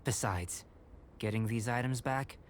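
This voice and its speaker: An actor playing a calm, courteous young scholar in a video game, articulate and analytical. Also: male voice, medium pitch, unhurried, a little hiss or hum, lightly acted. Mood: calm, measured. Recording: game music behind voice.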